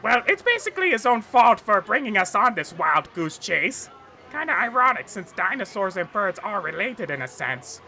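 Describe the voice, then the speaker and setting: A woman voicing a bumbling male cartoon villain, a frantic mad scientist with a sharp, strained voice who rambles and exclaims theatrically. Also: mid-range voice, big pitch swings, normal speed, slight background noise. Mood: exasperated. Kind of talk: cartoon fan tribute monologue.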